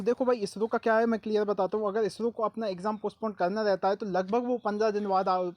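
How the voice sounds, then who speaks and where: A man's voice: high-pitched, no echo, highs cut off. A man hosts an informal update for students, talking familiarly and often checking that they follow. The recording is dry and close, with very little room sound. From Madhya Pradesh, India. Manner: repeated check-in tags and familiar address. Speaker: exam-update video host.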